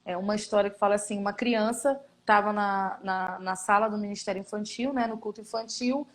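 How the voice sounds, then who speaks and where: A Brazilian missionary woman telling stories from her work with children, earnest and animated, acting out quotes as she goes. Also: medium pitch, fairly quick. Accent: Brazilian Portuguese. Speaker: missionary guest. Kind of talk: casual testimony in a live stream.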